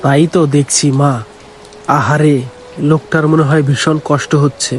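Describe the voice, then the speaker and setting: A man voicing a kind, poor farmer who is a father and speaks gently and with care. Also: a little high, little pitch movement, normal speed, a little hiss or hum, close to an everyday voice. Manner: gentle, caring.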